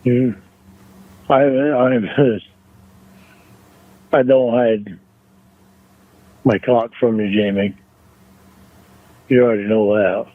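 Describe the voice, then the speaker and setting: A man on a phone call, arguing defensively in a halting, repetitive way. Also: mid-range voice, steady tone, no echo. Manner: halting, repeats himself, defensive. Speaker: phone caller. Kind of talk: argumentative private phone call. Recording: tinny, narrow phone line.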